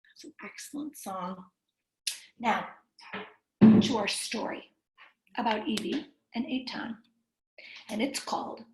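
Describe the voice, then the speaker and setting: A woman's voice: a little high, echoey room, rough recording. A woman cantor leading a children's story time, talking simply to young listeners and asking them questions.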